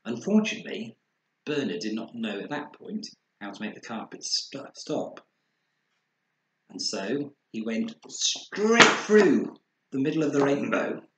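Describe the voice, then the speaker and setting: A man narrating a children's story with expressive, dramatized delivery, recorded in an echoey room. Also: medium pitch, unhurried. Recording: echoey room with faint noise.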